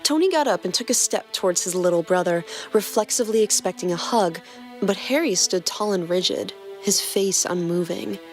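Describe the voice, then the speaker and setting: A woman co-hosts a podcast, reading fan fiction aloud at a mid pitch with a playful, teasing edge. A soft music bed sits faintly under her voice. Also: lively intonation, normal speed. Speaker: podcast co-host and reader.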